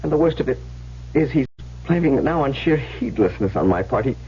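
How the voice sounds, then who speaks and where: An actor playing an anxious, earnest young huntsman in love, with halting, theatrical delivery in a radio drama. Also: mid-range voice, lively intonation, breathy edge. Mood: troubled, pleading. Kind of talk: old-time radio drama acting. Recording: muffled, lightly noisy old radio broadcast.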